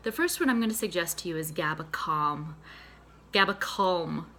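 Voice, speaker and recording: Woman, Boston accent, lowish voice, friendly step-by-step explainer, faint room hiss. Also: lively intonation, normal speed, bright, forceful tone. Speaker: psychotherapist and mental health nutritionist. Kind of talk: casual educational video talk.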